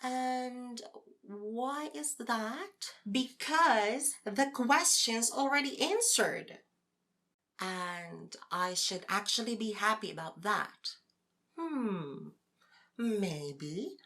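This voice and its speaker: A woman performing a scripted, conversational debate for an audio drama podcast, with an expressive, theatrical delivery. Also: on the high side, drawn-out words. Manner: theatrical, expressive, asks rhetorical questions. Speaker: podcast host performing both sides of a scripted dialogue.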